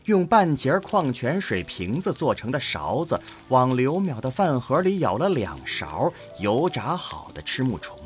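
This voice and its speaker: Expressive male storyteller with lively intonation. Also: mid-range voice, measured pace, hardly any pauses, faint music behind. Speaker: narrator. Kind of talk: scripted audio drama narration.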